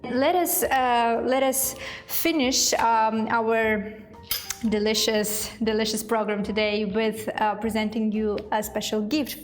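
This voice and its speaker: A young woman hosts a cooking show, her voice a bit higher than most. She speaks in a friendly, chatty way as soft music plays behind. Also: steady tone, slow pace. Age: young adult. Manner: friendly, laughs often, short replies. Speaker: host. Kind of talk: casual chat on a cooking show.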